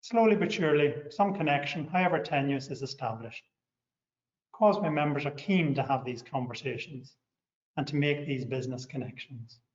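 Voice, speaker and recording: A middle-aged man with a Northern Irish accent, a company director giving a measured, scripted overview of his organisation. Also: mid-range voice. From Northern Ireland. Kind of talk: scripted promotional talk. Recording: echoey, muffled room sound.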